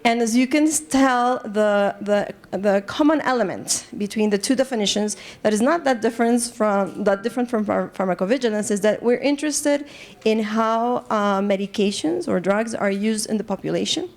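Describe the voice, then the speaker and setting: A Colombian-accented woman, likely in her thirties, lectures a room of colleagues in an earnest, engaged way. Her talk is full of ums, restarts and small self-corrections as she builds her points. She sits at an everyday middle pitch for a woman. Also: moderate pace. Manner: earnest, lots of fillers and restarts, engages audience. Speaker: assistant professor, presenter. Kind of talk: academic lecture to a live group. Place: conference room.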